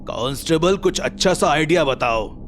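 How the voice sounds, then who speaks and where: A man's voice: fairly high pitch, animated, normal speed, a bit bright, a little hiss or hum. A man acting a blustering comic figure in a cartoon story, with theatrical, exaggerated delivery. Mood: blustering, exasperated. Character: blustering male police officer and boastful male thief in comic story.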